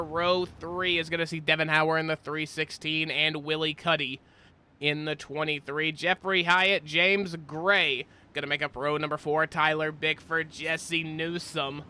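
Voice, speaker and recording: A man doing play-by-play commentary for a simulated racing broadcast, slightly stuffy-nosed from a cold, with his pitch climbing during close battles. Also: bright, forceful tone, slight background noise. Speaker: race commentator.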